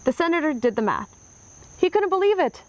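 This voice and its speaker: A woman narrating a children's history book aloud with clear, expressive storytelling. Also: very high voice, a little fast, no room sound.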